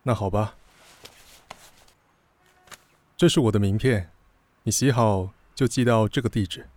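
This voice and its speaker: An actor playing a courteous, reserved businessman in a Taiwanese Mandarin radio drama, speaking calmly, steadily and in few words. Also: lowish voice, a little fast, natural voice. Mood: calm, considerate. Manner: calm, terse, steady. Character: composed, courteous, reserved well-dressed businessman. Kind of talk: Mandarin radio drama acting with inner monologue. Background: background music.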